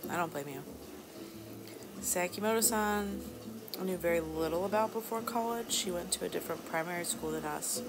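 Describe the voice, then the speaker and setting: Woman playing a low, creaky, flirty bar hostess. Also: moderate pace, noisy background, lightly acted. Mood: chatty, casual. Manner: casual, teasing, drawling asides. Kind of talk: soft-spoken ASMR roleplay. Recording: music behind the voice.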